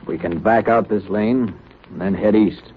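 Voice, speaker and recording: An actor playing a dutiful, plain-spoken ship's officer, terse and matter-of-fact, in a 1930s radio drama. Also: male voice, on the low side, normal speed, close to an everyday voice. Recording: tinny, noisy 1938 radio broadcast.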